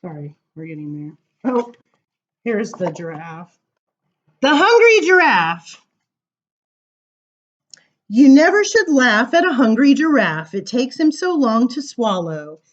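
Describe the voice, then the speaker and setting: A woman reading poems aloud to children as a storytime librarian, with animated, sing-song emphasis and casual asides. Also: fairly high pitch, slow pace, pauses often, dull audio.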